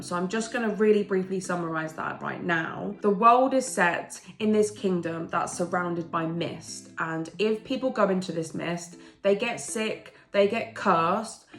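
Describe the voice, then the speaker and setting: A woman's voice: lowish voice, roomy sound. A woman with a blocked nose from a cold chats eagerly as a book vlogger, gushing about her recent reads. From England. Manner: gushing, enthusiastic, chatty. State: congested from a cold. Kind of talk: casual book review chat.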